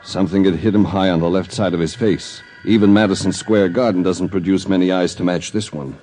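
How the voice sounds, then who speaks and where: An actor playing a cultured, cool-headed investigator, narrating in a measured, wry 1940s radio detective style. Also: male voice, sits low, fairly even intonation, over music, natural voice. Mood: hard-boiled narration. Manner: hard-boiled, measured, wry. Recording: noisy old radio recording with clicks.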